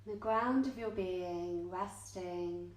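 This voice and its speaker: Female meditation guide, unhurried and gentle, mid pitch, echoey studio space. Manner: gentle, measured, short phrases. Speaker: yoga nidra teacher. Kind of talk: guided meditation, slow soothing instruction with long pauses. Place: indoor yoga studio, live class. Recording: roomy, echoing yoga studio.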